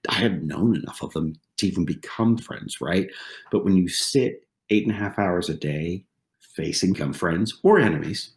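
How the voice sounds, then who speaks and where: A man in his forties with a very low voice, speaking as a podcast guest. He tells his stories casually, circling back to repeat phrases and checking in with "right?". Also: moderate pace. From southwestern Pennsylvania, USA. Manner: conversational, repeats phrases, tags lines with "right?". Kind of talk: casual interview storytelling.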